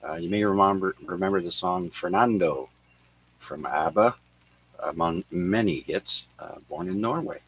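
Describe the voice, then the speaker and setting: A man hosting an amateur radio net, reading notes on who was born and who died on this day, with many filler pauses. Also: low voice, fairly bright tone, a little hiss or hum, low-quality recording. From Canada. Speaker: radio net control host. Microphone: radio transceiver microphone.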